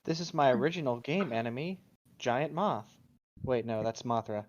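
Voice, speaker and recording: A man's voice: mid-range voice, lively intonation, moderate pace, lo-fi sound. A man making casual, mock-naive joking remarks with dry humor.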